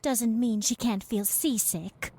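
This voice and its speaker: A woman's voice: fairly high pitch, slow pace, mellow, breathy edge, full character voice. A woman playing a protective, patient minder of a child in an audio drama, motherly and admonishing.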